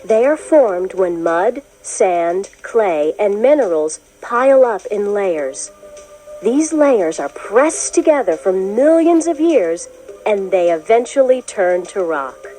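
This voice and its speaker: Woman, children's read-along narration, slow pace, varied expressive intonation, measured explanatory delivery, music behind. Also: medium pitch, low-quality recording. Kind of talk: scripted storybook narration.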